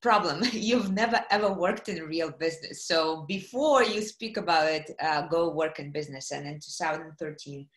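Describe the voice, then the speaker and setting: A middle-aged woman from Kazakhstan, giving a lively webinar talk on a video call, with many fillers and questions to her audience. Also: sits low, moderate pace, few pauses, reverberant. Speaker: guest speaker. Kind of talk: webinar presentation. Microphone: computer mic on video call.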